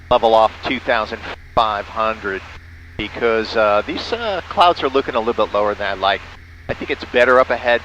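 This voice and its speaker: A man's voice: mid-range voice, fairly bright tone, dull audio. A man narrating a flight casually and making amateur radio calls as a pilot from a small airplane cockpit. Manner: spells out callsigns in phonetic alphabet. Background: faint vehicle engine noise.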